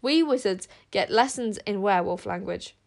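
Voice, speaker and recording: A woman voicing a cocky, animated young boy wizard. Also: mid-range voice, moderate pace, creaky. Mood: excited. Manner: animated, confident.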